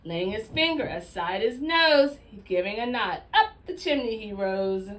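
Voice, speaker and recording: A woman with a fairly high voice reads a storybook aloud as a childcare teacher would. Her delivery is expressive and very animated in pitch, aimed straight at small children. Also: unhurried, slight background noise. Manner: expressive, addresses young listeners directly.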